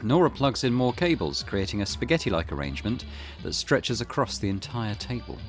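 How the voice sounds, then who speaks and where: Low, animated man reading game narration. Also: brisk. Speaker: let's play host reading a visual novel aloud. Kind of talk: expressive narration of game text with casual comments. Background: loud game music.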